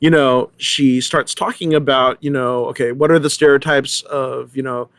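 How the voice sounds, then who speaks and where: A middle-aged man in a casual panel discussion, rambling and halting as he often repeats his words. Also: medium pitch, fairly even intonation, a bit bright, no room sound.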